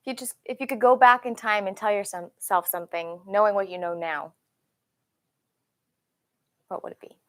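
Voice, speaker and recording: Woman casually hosting, mid-pitched voice. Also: fairly even intonation, normal speed, long pauses, a bit bright. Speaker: host reading audience questions.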